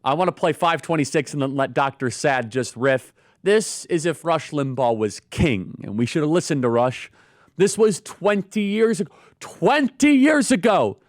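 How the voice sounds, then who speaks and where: A man's voice: fairly high pitch, animated, normal speed, no room sound, studio quality. A man hosting a talk show, emphatic and enthusiastic as he puts questions to a guest. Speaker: show host.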